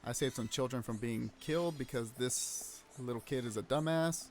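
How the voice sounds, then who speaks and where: A man's voice: mid-range voice, moderate pace, darkish tone, faint music behind. A man live-streaming a game, chatting casually, laughing often and swearing freely as he narrates his play.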